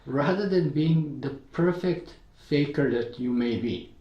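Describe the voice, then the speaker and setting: A man's voice: on the high side, unhurried, roomy sound, muffled recording. A man from Bulgaria with a Bulgarian accent, a guest in an interview who talks earnestly and often checks in with "right?" and "you know". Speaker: podcast creator, guest. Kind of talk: casual interview talk.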